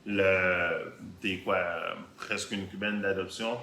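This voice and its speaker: A Québécois man hosts a casual interview in a slow, halting way, often repeating words as he builds a question. His voice is lowish and bright, heard in an echoey room with faint noise. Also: fairly even intonation. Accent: Québécois French. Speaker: host interviewing a guest. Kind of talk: casual chat. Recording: roomy echo, faint background noise.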